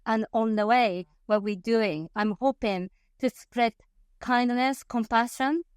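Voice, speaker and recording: A woman's voice: fairly high pitch, slow pace, smooth, dry recording, clean studio sound. A woman in her fifties from Japan with a Japanese accent, a passionate interview guest who often laughs and corrects herself mid-sentence. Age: 50s. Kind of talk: conversational interview.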